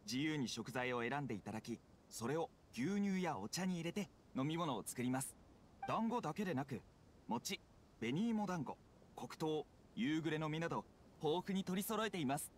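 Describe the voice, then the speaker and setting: An actor playing a humble young street food vendor in an anime game, speaking politely and earnestly. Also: male voice, on the high side, expressive, moderate pace, slight background noise, close to an everyday voice. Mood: eager. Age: young adult. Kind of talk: Japanese anime game voice acting.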